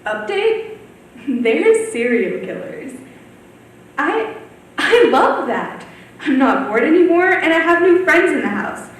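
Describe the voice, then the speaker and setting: A woman's voice: high voice, sing-song, slow pace, pauses often. A teenage girl playing a lonely, gleefully morbid ghost in a theatrical monologue. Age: teens. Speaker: high school student performer. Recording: very echoey room, distant mic, faint noise.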